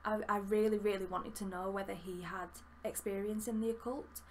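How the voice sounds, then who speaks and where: A woman's voice: mid-range voice, steady tone, normal speed, a little hiss or hum. A young woman with a Yorkshire accent, chatting enthusiastically while reviewing a book at her desk, with plenty of fillers. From Yorkshire, England. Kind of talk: casual book review vlog. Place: small room with bookshelves, indoors.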